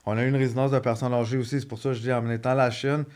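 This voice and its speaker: A man's voice: mid-range voice, flat delivery, very fast, darkish tone. A man with a Québécois French accent, telling his life story in a casual interview, joking and rambling with lots of repeated words. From Quebec. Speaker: guest.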